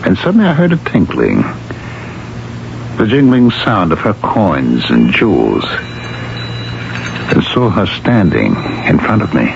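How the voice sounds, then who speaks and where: A gravelly, haunted engineer. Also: male voice, low voice, huge pitch swings, measured pace, pauses often, background noise, heavily acted. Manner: intense, dramatic storytelling. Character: haunted engineer husband, shaken and earnest. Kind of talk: 1970s radio drama acting. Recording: muffled 1970s radio broadcast with music.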